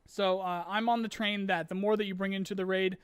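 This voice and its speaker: Hoarse high-voiced man streaming casually. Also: fairly even intonation, fairly quick. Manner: rambling, many ums and restarts, apologetic. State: losing his voice, clearing throat. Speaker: streamer host answering chat questions.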